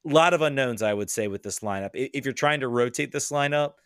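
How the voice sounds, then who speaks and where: A man's voice: medium pitch, quick pace, fairly bright tone, clean tone, dry recording, clean studio sound. A man giving casual soccer analysis as a guest, hesitant and working through his thoughts as he talks. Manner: hesitant, thinks aloud, hedges. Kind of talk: casual sports discussion.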